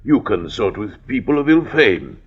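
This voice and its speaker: A man's voice: fairly low pitch, big pitch swings, normal speed, husky. A man playing a severe, disapproving father with formal archaic diction in an old radio drama. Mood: stern, accusing. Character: stern, disapproving wealthy father of grown son. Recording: muffled vintage radio recording.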